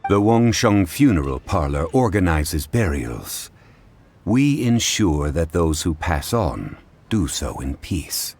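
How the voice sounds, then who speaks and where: A man voicing a dignified scholarly gentleman in a video game, speaking formally and gravely with eloquent, measured phrasing. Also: deep voice, sing-song, slight background noise, full character voice. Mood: formal, composed. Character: dignified, solemn scholarly gentleman, male funeral consultant. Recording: background music under the voice.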